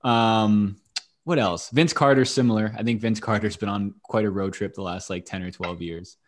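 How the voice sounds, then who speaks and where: A man's voice: lowish voice, normal speed. A young man hosting a sports podcast with laid-back, casual and sometimes profane banter. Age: young adult. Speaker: podcast host.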